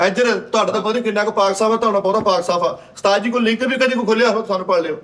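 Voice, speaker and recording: Very fast, high-pitched Punjabi man. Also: a bit bright. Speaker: talk show panelist. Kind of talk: casual comedic panel banter.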